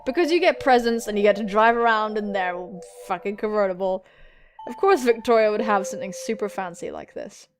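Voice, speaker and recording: An excitable, giggly young woman reading a visual novel aloud and adding dramatic, sweary commentary as a gaming host. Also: mid-range voice, a little fast. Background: music.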